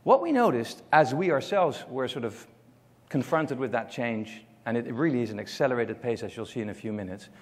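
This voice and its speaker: A middle-aged man with a Dutch accent speaks from a conference stage in an average-pitched voice. He pokes fun at himself and fills his pauses with ums and uhs. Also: lively intonation, slightly rough. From Netherlands. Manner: self-deprecating, jokey, with frequent fillers. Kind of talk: conference keynote talk.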